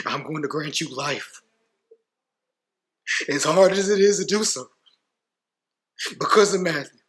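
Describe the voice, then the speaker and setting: A man's voice: lowish voice, sharp tone. A man acting a grieving father addressing a killer in court, solemn and emotional, in a dramatic monologue.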